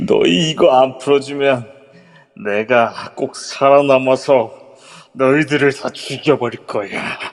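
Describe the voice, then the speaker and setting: A man's voice: medium pitch, expressive, takes it slowly. A man voicing a vicious old psychopath villain who taunts and cackles in an amateur audio drama. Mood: menacing. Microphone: phone via a voice chat app. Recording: long reverb.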